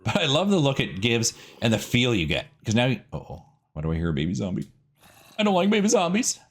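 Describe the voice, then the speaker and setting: A man narrates his gameplay in a casual, chatty way. His voice sits on the low side and his pitch moves around a lot. He talks informally, as if thinking out loud while he plays. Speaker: gaming video host.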